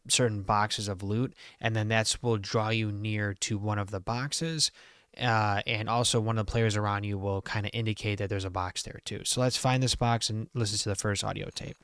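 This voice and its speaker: Guy doing a chill game walkthrough, smooth voice, dry recording, explains stuff informally. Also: on the low side, a bit bright, dry recording. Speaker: gaming guide host. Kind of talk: casual walkthrough commentary.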